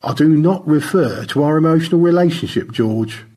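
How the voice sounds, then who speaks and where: A man's voice: on the high side, expressive, moderate pace, dark tone, breathy edge, voice pushed up. A man voicing an earnest, fussy space crewman in an audio story, speaking in a precise, formal, politely roundabout way. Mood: earnest, awkward. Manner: precise, circumlocutory, hesitantly polite.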